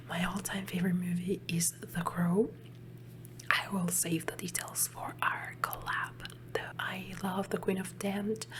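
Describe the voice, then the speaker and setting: A young woman with an Italian accent, whispering softly and chattily into a close microphone for an ASMR Q&A. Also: mid-range voice, background noise. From Emilia-Romagna, Italy. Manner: soft unvoiced whisper, chatty with fillers. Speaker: ASMR channel host. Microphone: large studio mic close in front.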